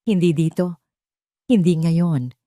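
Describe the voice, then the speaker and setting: Woman voicing a poised, icy heroine, low-voiced. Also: takes it slowly, dark tone, no echo, studio quality. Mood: tense resolve. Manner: cold, controlled. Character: poised, icy, proud wronged businesswoman heroine.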